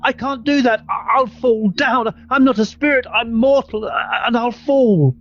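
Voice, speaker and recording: A man's voice: very high voice, measured pace. A man acting an elderly miser in a radio play, stammering, exclaiming and repeating his words with theatrical feeling. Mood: frightened, pleading. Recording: roomy, dull audio with music.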